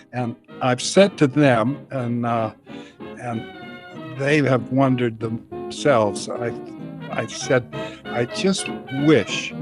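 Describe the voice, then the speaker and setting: A man giving a casual introduction as a comedy writer, in a halting, unhurried manner. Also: mid-range voice. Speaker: comedy scriptwriter.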